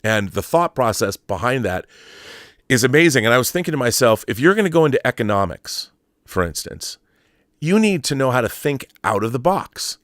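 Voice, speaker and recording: A man's voice: medium pitch, sing-song, normal speed, a bit bright, no room sound, studio quality. An American man hosting a livestream, talking casually and with opinions in a rambling, emphatic way that repeats phrases for stress. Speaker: livestream host. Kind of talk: casual opinionated livestream monologue, reading viewer comments.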